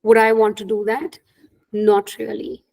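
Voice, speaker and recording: A woman's voice: fairly high pitch, little pitch movement, measured pace, vocal fry, a little mellow. A middle-aged woman with an Indian accent, a native Hindi speaker, coaching people on job interviews in an earnest webinar talk, often checking in with "right?". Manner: earnest, frequent "right?" tag questions.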